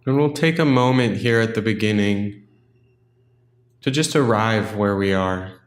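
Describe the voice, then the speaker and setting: A man with a fairly low voice guides a meditation in a roomy, echoing space. He speaks gently and without hurry, offering simple instructions and leaving generous pauses between them.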